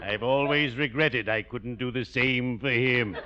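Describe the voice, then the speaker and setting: An actor playing a gloomily jovial, genteel undertaker with a formal, drawn-out delivery in 1940s radio comedy style. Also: male voice, mid-range voice, close to an everyday voice. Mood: macabre cheer. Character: genteel, gloomily jovial small-town undertaker, a family friend. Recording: dull, noisy vintage 1940s radio recording.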